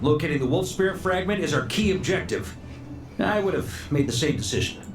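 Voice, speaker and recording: A man's voice: fairly high pitch, lively intonation, brisk. An actor voicing a confident male military commander who gives decisive orders. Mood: commanding. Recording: game audio with reverb over music.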